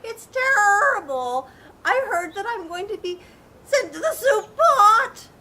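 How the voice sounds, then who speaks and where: Shrill squawking old rooster. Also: female voice, sing-song, takes it slowly, bright, forceful tone, heavily acted. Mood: distressed crowing. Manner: squawking, crowing wildly.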